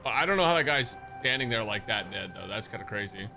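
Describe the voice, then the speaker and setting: Sarcastic male streamer, very lively intonation. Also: lowish voice, fast talker, gravelly. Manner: sarcastic, slangy, sweary. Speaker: game streamer. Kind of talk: casual live game reaction commentary. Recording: live stream with game music behind. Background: game music.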